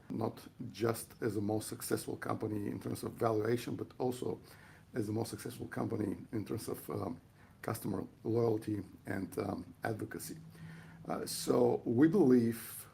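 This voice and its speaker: Deep-ish guy on a podcast, super creaky voice, stop-and-start formal explaining, slight rumbly noise. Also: warm tone. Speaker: company chief executive on a podcast.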